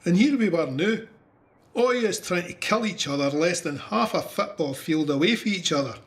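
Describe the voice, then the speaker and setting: Scottish soldier telling his war story, higher-voiced. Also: expressive, moderate pace. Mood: uneasy, reflective. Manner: conversational storytelling. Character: young Scottish soldier recounting trench warfare, candid and wry. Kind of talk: first-person audio drama narration.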